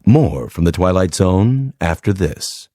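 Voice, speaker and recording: A man formally reading scripted radio announcements in a measured way. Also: low-pitched, animated, loud music behind the voice.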